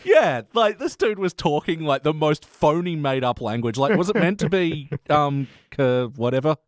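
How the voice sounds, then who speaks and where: A man's voice: on the high side, lively intonation, normal speed, no room sound, clean studio sound. A man co-hosting a podcast in loose, rambling, jokey and informal conversation. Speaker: podcast co-host. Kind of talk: casual chat.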